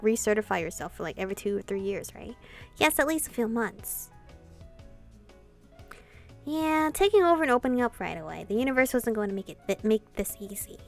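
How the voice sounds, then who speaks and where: Woman, higher voice, game streamer, reading dialogue aloud, casual expressive commentary, faint music behind.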